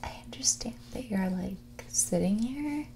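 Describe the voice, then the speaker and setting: A woman playing a snobby, dismissive rich young woman in a whispered and soft-spoken ASMR roleplay, condescending and ditzy, saying "like" often. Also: on the high side, animated, a little slow, slight background noise. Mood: bored, condescending. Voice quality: breathy whisper mixed with creaky soft speech. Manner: ditzy, condescending, hesitant delivery full of "like".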